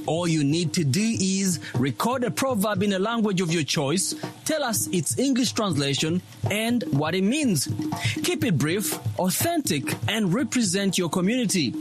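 A man reading a scripted radio promo in a clear, deliberate way. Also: high voice, very animated. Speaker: promo announcer. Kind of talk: scripted announcement. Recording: over background music.

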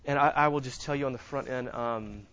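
A man preaching a sermon as a visiting minister in a church, speaking softly with many fillers and pauses, with no microphone. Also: mid-range voice, lo-fi sound. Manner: soft-spoken, halting with frequent fillers. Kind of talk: sermon with scripture reading. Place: church sanctuary. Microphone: no microphone, distant.

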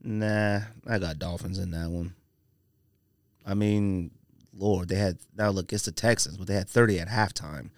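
A man's voice: sits low, steady tone, unhurried, no room sound, clean studio sound. A man hosting a casual sports podcast, debating picks in an emphatic, argumentative, slangy way.

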